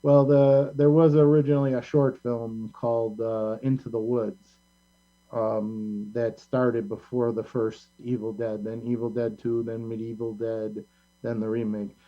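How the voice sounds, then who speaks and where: A man's voice: mid-range voice, little pitch movement, measured pace. Man interviewing casually, muffled lo-fi recording. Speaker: interviewer and show host. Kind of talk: casual interview chat about movies. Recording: muffled lo-fi sound with mains hum.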